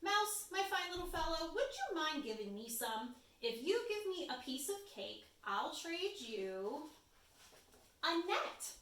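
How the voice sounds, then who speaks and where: A woman's voice: extremely high pitch, sing-song, slow pace, sharp tone, pitched above the performer's own voice, exaggerated voice. A woman voicing a courteous, hearty bear who exclaims in a read-aloud storybook. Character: jovial, courteous honey-loving bear. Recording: roomy echo, distant microphone.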